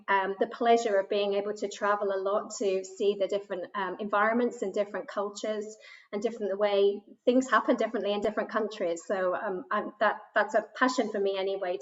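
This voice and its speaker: A woman panelist speaks in a measured way, pausing often with "um" as she introduces herself. Her voice comes through dull, lo-fi call audio. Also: mid-range voice. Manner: measured, with frequent ums. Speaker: panelist, HR executive.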